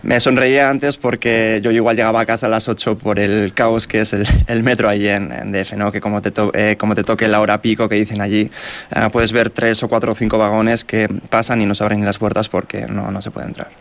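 A man from Spain, a radio guest back from working abroad, talking in a measured, thoughtful way with fillers. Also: on the low side, little pitch movement. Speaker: radio guest, returned from Mexico. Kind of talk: casual radio interview.

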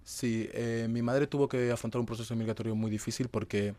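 A man's voice: mid-range voice, fairly even intonation, brisk, mellow. Young man from being interviewed. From Madrid, Spain. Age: young adult. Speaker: interview guest, young dancer. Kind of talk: conversational interview answers.

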